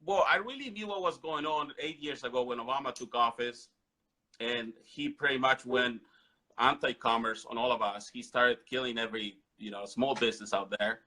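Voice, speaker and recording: A man's voice: mid-range voice, moderate pace, pushed and bright, poor audio. A man from Mexico, hosting a casual talk show, chatty and prompting his guest with questions. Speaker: host.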